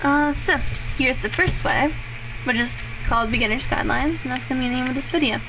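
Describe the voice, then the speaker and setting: A young woman hosting a casual drawing tutorial, rambling and self-deprecating, with fillers and a voice that turns raspy at times. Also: sits high, fairly quick. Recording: clearly noisy home recording.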